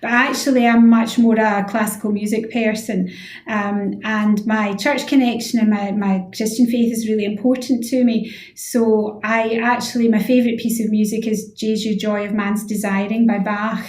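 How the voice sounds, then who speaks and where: A woman's voice: medium pitch, little pitch movement, normal speed, a little mellow. Scottish woman in her sixties, echoey room. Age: 60s. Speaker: radio interview guest, head of physiotherapy. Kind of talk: conversational interview answers.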